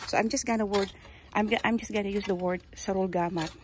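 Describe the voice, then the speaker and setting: A woman with a Filipino accent, Kapampangan by birth, casually showing her backyard garden and tools, pausing and searching for words. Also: mid-range voice, steady tone, a little mellow, slight background noise. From Philippines. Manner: slow, halting, searches for words. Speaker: gardening vlogger. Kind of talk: casual show-and-tell. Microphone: handheld phone, speaker off camera.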